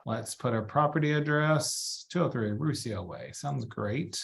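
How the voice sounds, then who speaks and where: A man giving a casual software walkthrough as a trainer, chatty and informal, thinking aloud as he works. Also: medium pitch, animated, a little slow, vocal fry. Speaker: real estate trainer.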